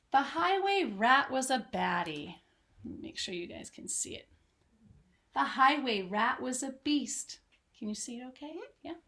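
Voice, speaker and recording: A woman's voice: on the high side, fairly bright tone. A woman who teaches children, reading a picture book aloud expressively and chatting to young listeners. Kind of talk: children's storytime reading and chat.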